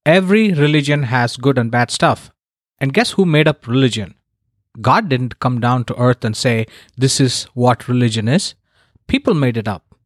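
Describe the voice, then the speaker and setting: A man's voice: medium pitch, expressive, normal speed, no echo, studio quality. A man from India hosting his own podcast, delivering a scripted monologue in an earnest, emphatic way with wry asides. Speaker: podcast host.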